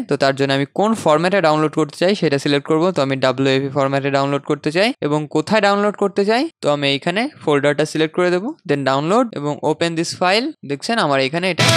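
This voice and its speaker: A man hosting a casual software tutorial, conversational and dropping in English terms. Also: on the high side, smooth, no echo, clean studio sound. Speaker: tutorial host. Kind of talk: casual software tutorial screen-recording narration.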